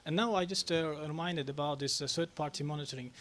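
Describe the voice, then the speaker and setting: A man answering questions on a press club panel, talking haltingly with many fillers and restarts. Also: on the high side, fairly bright tone, slight background noise. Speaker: panel speaker on national reforms.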